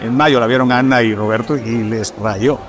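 A middle-aged film director from Puerto Rico with a mixed Caribbean and Mexican Spanish accent, chatting casually and telling stories with lots of fillers. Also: male voice, medium pitch, steady tone, moderate pace. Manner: chatty, storytelling, fillers like "este". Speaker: film director, podcast guest. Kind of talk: casual conversation.

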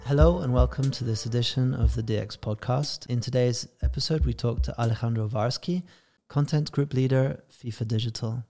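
A man with an English accent hosts an interview podcast. His voice is of medium pitch, and he puts questions and remarks in a conversational way. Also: fairly quick, dark tone, faint music behind. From England.

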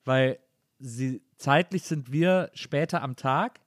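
A male podcast co-host teases the others and chuckles through his lines. His voice sits in a middle pitch. The recording is dry and close. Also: slow, no room sound. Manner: teasing, chuckling. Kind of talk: casual chat.

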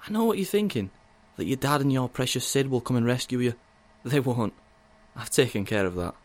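Drama villain, male actor: mid-pitched, very expressive, coldly menacing beneath mock tenderness, patronising and controlling. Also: lightly acted. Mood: cold, smug. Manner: condescending, deliberate, quietly threatening. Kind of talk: audio drama acting.

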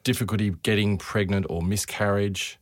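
A man with a fairly low voice interviews a specialist as a fellow doctor. He asks direct questions and drops in short acknowledgements between the answers. The sound is dry and close. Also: level tone, moderate pace, no echo. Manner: brief prompts and short acknowledgements.

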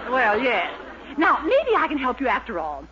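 A woman's voice: high voice, huge pitch swings, moderate pace, a crowd in the background. A woman playing a gushing, man-crazy older spinster in a 1940s radio comedy, squealing and breathless. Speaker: neighbor character in a radio sitcom. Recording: dull old radio broadcast with loud hiss.

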